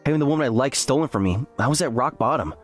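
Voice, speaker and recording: A man's voice: mid-range voice, lively intonation, rapid-fire, faint music behind, natural voice. A man playing an earnest, self-deprecating graduate student who narrates his story in an anime-style dub. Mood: despairing. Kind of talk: first-person scripted narration with acted dialogue.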